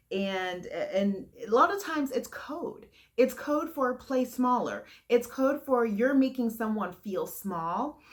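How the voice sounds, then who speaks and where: A young adult woman giving frank, earnest advice to camera in a heavy, loud voice that carries. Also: medium pitch. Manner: frank and earnest, with fillers and restarts. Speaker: vlogger giving career advice.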